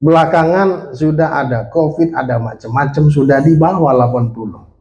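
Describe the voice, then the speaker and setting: A man in his late forties with an Indonesian accent preaches to a congregation, pitched a little high for a man. He keeps throwing questions at the listeners and calling for amens. His voice rings through an echoey church hall. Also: normal speed, mellow, highs cut off. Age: 40s. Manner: engages the congregation with questions and amen calls. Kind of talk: sermon.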